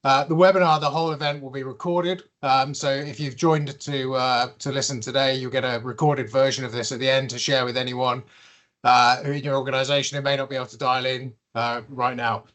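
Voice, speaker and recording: Friendly brisk male co-host. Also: mid-range voice, little pitch movement, fairly bright tone. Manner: brisk, friendly, practical with fillers. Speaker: webinar co-host and interviewer. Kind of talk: presenting and interviewing.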